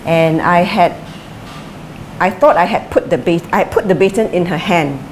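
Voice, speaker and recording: Interview subject, middle-aged woman, lowish voice, measured and reflective, faint hiss behind. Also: low-quality recording. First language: English is her first language; Chinese is her second. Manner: thoughtful, with frequent fillers and restarts. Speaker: interviewee, former sprinter and coach. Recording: light background noise.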